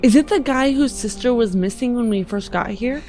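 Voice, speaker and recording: A woman's voice: on the high side, moderate pace, dark tone. A woman playing a tabletop roleplaying game, speaking casually as she describes her actions. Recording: music under the voice.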